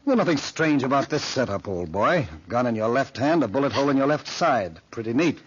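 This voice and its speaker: An actor playing a flippant, sardonic socialite with a cutting, wisecracking manner in a 1940s radio drama. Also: male voice, medium pitch, animated, a little fast, slightly rough. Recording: muffled old radio recording.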